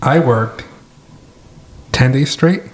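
Young adult man, podcast co-host. Raspy, laid-back, dry jokes. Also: medium pitch, drawn-out words. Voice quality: raspy today. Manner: laid-back, short joking replies. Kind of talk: casual chat.

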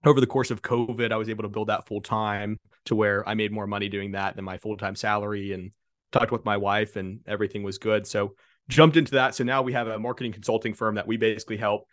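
A man in his twenties, a podcast guest, talking in a relaxed conversational way. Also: fairly low pitch, fast, dry recording, clean studio sound. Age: 20s. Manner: relaxed, conversational, many fillers and restarts. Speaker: guest, marketing consultant. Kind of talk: casual podcast interview.

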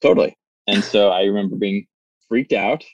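A man's voice: on the low side, moderate pace, dry recording, highs cut off. A man in his thirties, a startup founder, talking in a rambling, casual way with lots of "like" fillers, recorded in a small phone booth. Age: 30s. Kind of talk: casual interview chat.

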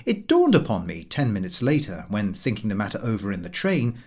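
A man narrating a comic travel story as an audiobook reader, in a wry, measured and expressive way. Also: lowish voice.

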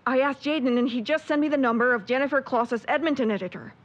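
A woman's voice: high-pitched, fast talker, muffled recording. A woman playing an upbeat, encouraging young travelling companion in an audio drama, casual and positive. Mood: concerned.

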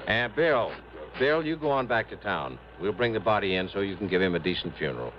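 A firm, plain-spoken police officer in a vintage radio drama. The voice is very low yet moves around a lot as he gives orders. The recording is muffled. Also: very animated, a little fast, slight background noise. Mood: steadying. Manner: urging, plain-spoken, commanding. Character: brisk small-town police officer, firm but steadying. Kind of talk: 1950s-60s radio drama acting. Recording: muffled old radio broadcast.

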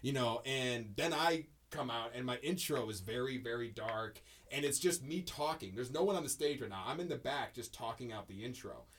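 A man's voice: mid-range voice, lively intonation, normal speed, hardly any pauses. A man hosting a podcast in casual chat, telling stories in a rambling, emphatic way, full of ums and restarts. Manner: rambling, heavy on fillers and restarts, emphatic storytelling. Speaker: podcast host.

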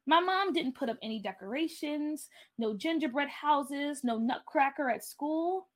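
A woman's voice: fairly high pitch, expressive, drawn-out words, mellow, full character voice. A woman voicing a sad, withdrawn young girl in a story, with a subdued, murmuring, glum manner. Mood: sad, groaning.

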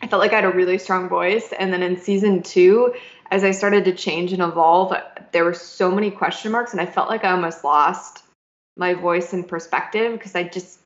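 A young woman with a fairly low voice talks things through slowly and reflectively, pausing and restarting often. She sounds like she is in an echoey room, and the audio is a bit dull and lo-fi. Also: fairly even intonation. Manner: thoughtful, halting, many fillers and restarts. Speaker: podcast host. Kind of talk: reflective casual conversation.

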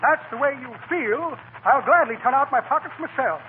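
A man's voice: extremely high pitch, expressive, normal speed. An actor playing a pompous, shifty con man in a 1940s radio comedy, with florid, blustering, wordy speech. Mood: breezy bluffing. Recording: noisy, tinny 1940 radio broadcast.

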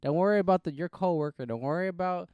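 A young man on a podcast with a gravelly, fry-heavy voice, talking bluntly and cursing freely. The sound is dry and close, with no room around it. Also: high-pitched, fast talker, no echo, highs cut off. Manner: blunt, swears freely, gets worked up. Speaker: podcast co-host. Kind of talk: casual chat.

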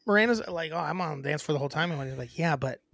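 A woman's voice: low voice, animated, rapid-fire, vocal fry, a bit bright, no echo, clean studio sound. A young woman co-hosting a podcast, blunt and crude, teasing her co-host in casual chat.

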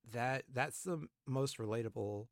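A man's voice: mid-range voice, a little slow, dry recording, clean studio sound. A man in his twenties co-hosting a podcast, reflecting aloud in a casual, thoughtful way with frequent fillers. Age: 20s. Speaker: podcast co-host. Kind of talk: casual conversation.

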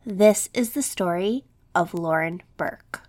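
A woman's voice: on the low side, slow, a little mellow. A woman hosting a true crime podcast, reading a scripted narration in a slightly scratchy voice as she recovers from an illness. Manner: reads script with quotes and statistics.

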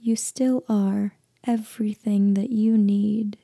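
Female meditation guide, soft half-whisper, very warm and relaxed tone, gentle slow delivery, close dry sound. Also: medium pitch, vocal fry, dry recording. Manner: gentle, hushed, deliberate with long pauses.

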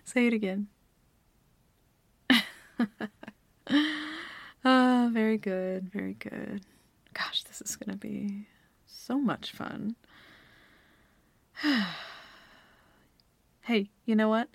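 A woman playing a teasing, bossy young dominant girlfriend in an intimate, soft-spoken ASMR roleplay, often dropping to a whisper. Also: medium pitch, steady tone, extremely slow, vocal fry, long pauses, no echo. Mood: pleased, commanding.

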